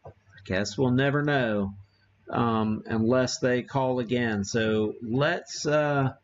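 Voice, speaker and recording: A man giving a casual live demo as an amateur radio streamer, talking haltingly as he thinks aloud while working the gear. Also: mid-range voice, muffled recording.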